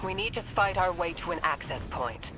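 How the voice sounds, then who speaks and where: An actress playing an earnest, duty-bound warlock officer with a measured, serious manner in a video game. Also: female voice, on the low side, animated, lightly acted. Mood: businesslike. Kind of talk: video game dialogue acting. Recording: loud music underneath.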